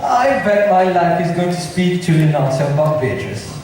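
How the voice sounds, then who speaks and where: A man's voice: high-pitched, moderate pace, darkish tone, close to an everyday voice. A man playing a loyal, incredulous prince in an amateur student staging of simplified Shakespeare. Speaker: student actor in drama club play. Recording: echoey hall from far off, faint noise.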